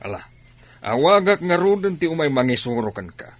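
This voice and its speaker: A man's voice: on the high side, very animated, a little fast. A man with an Ilocano accent playing an authoritative, well-off father in a radio drama. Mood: questioning, firm.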